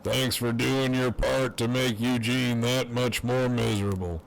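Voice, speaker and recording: Man playing a cocky, gravelly wisecracker. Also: medium pitch, steady tone, measured pace, rough recording. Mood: sardonic. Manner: wry, swaggering, foul-mouthed banter. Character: cocky, crude, teasing imprisoned man on a walkie-talkie. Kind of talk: fan audio drama acting.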